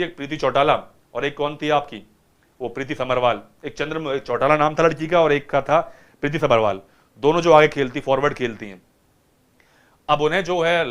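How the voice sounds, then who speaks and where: Lively Indian man teaching vocabulary. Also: a little high. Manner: lively, keeps asking students rhetorical questions. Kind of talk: vocabulary lesson retelling a film.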